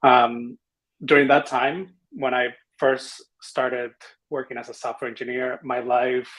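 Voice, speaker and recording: A man's voice: medium pitch, flat delivery, a little slow, a bit bright, poor audio. A man from the Dominican Republic speaking as a panelist, casually and enthusiastically introducing himself. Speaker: panelist, software engineering manager.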